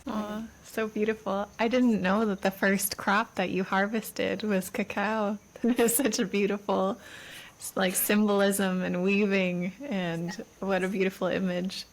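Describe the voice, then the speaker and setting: A woman hosting a podcast, responding to her guests with warm affirmation. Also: medium pitch, little pitch movement, unhurried. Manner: warmly affirming. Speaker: podcast host. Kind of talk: casual podcast interview.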